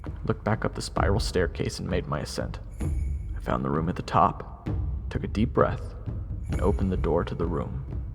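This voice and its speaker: Fantasy story narrator: male actor as a wry young adventurer, gravelly, with clear background music. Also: sits low, animated, normal speed, pauses often. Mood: excited, suspenseful. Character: wry, self-deprecating young male soldier recounting his adventure.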